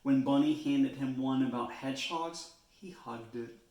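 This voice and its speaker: A man reading a children's storybook aloud in an expressive way, stretching words for emphasis. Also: medium pitch, reverberant. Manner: expressive, drawn-out phrasing with emphasis.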